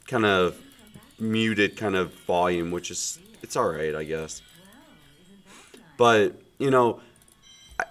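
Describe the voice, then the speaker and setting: A man in his thirties with a cold, chatting casually while streaming a game, his normally loud voice sounding muted and subdued, rambling with many fillers. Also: medium pitch. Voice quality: loud projecting voice, subdued today. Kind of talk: casual chat while gaming.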